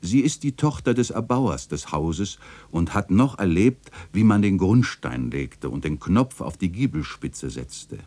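An actor playing a reflective, nostalgic chronicler narrating a literary radio play in a measured, contemplative way. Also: male voice, sits low, big pitch swings, mellow, full character voice. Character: reflective, nostalgic male chronicler of an old city lane.

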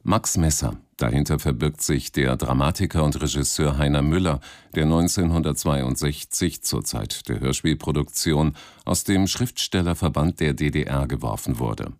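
A man's voice: very deep voice, moderate pace, hardly any pauses, a little mellow, no room sound. A man reading a scripted introduction as a radio announcer, close to a studio microphone.

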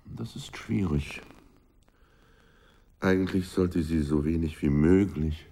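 Casting: protective, evasive husband for radio drama, very low creaky voice, formal, patronising, in command of the household. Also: male voice, takes it slowly, warm tone. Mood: protective, grave. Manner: firm, formal, soothingly patronising. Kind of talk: German radio drama acting.

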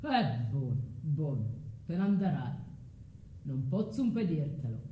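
A woman acts out a pompous immigrant husband, coaxing and condescending, in an echoey room. The voice is dropped low, with a southern Italian accent mixed with Spanish, in a theatrical style. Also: very animated, a little slow, lo-fi sound. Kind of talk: theatrical monologue in mixed dialect. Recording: echoey room with faint rumbling noise.

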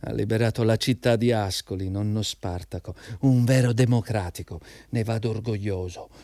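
A man's voice: fairly low pitch, normal speed, dark tone, no room sound, close to an everyday voice. An actor in a radio drama playing a proud, jobless father who pleads and argues defiantly, repeating his phrases insistently. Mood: proud, bitter. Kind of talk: theatrical radio drama dialogue.

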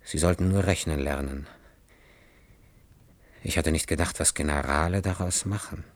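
A man acting a grave, resolute, quietly despairing professor in a 1960s science-fiction radio play, speaking in a clipped, solemn way. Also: very deep voice, moderate pace. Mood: grave, resigned.

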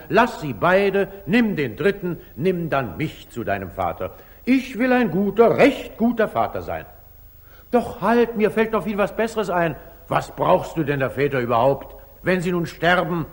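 A magnanimous sultan with a fairly high male voice. His delivery swings widely, imperious and fatherly, in the declamatory style of an old 1940s radio drama. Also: big-room sound. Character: magnanimous, commanding sultan with playful fatherly charm. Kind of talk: 1940s German radio stage acting.

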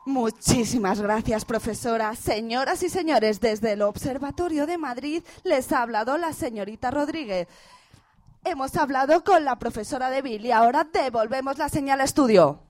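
A woman's voice: high voice, lively intonation, moderate pace, darkish tone. A woman playing an earnest, formal female reporter in a parody radio drama. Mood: urgent, formal. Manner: formal, announcer-like.

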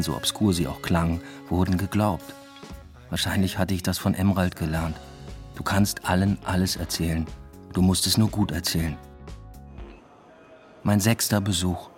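A low-pitched actor as a male lecturer leading a double life tells his story in a literary radio play. He is dry, introspective and evasive, with clear music behind him. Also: fairly even intonation, moderate pace, lightly acted. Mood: wry, reflective. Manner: dry, matter-of-fact, introspective. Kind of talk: literary radio drama first-person narration.

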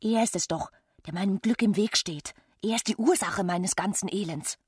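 Unhappy young wife in a radio play, breathy husky voice, brooding and resentful, super dry close sound. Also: female voice, mid-range voice, moderate pace, a little mellow, dry recording. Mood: bitter. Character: unhappy young married woman, passionate, bitterly resentful. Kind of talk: literary radio play acting.

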